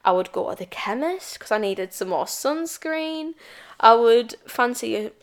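Chatty British woman podcaster, bright-pitched. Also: lively intonation, a little slow. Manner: chatty, rambling, self-described stuttering and babbling. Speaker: podcast host. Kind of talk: casual solo podcast chat.

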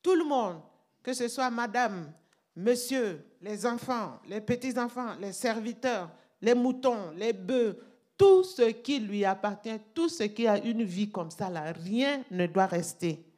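A woman pastor preaching a sermon in deliberate teaching fashion, stopping to check that her listeners follow, speaking a language that is not her first. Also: fairly high pitch, expressive, darkish tone. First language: not French.

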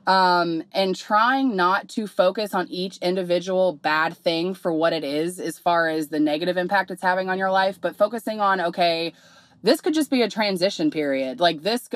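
A young woman, slightly hoarse, hosting her podcast in candid, rambling casual talk full of fillers. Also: fairly low pitch, no room sound. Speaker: podcast host.

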